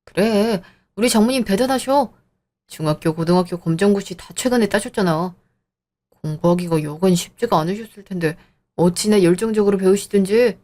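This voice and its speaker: A woman voicing a young man, a fiancé who soothes and smooths things over in an audio drama. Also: mid-range voice, fairly quick, warm tone, close to an everyday voice. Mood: placating. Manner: soothing, placating. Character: young adult man, gentle peacemaking fiancé.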